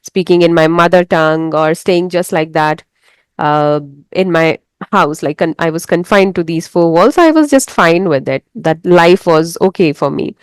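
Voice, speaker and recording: An Indian woman with an Indian English accent, a Hindi speaker and English teacher, hosting a casual interview in a calm, encouraging way. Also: on the low side, normal speed, clear, resonant tone, no room sound. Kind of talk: casual video-call interview.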